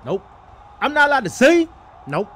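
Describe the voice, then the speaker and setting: A man's voice: extremely high pitch, sing-song, moderate pace, fairly bright tone, a little hiss or hum. A man doing comedic voiceover of basketball players and referees, exclaiming in profane, slangy trash talk.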